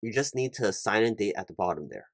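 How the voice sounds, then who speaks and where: Low-voiced man as an earnest corporate recruiter. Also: lively intonation, dry recording, clean studio sound. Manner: halting, stammering corporate earnestness. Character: earnest, slightly flustered corporate recruiting manager. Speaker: ASMR roleplayer as a recruiting manager. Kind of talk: one-sided job interview roleplay, semi-scripted ASMR.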